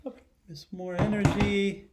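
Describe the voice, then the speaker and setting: A man's voice: high-pitched, level tone, a little slow, very noisy. A middle-aged man giving a children's lesson and read-aloud, speaking in simple phrases and often addressing questions to young viewers. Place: living room at home, indoors.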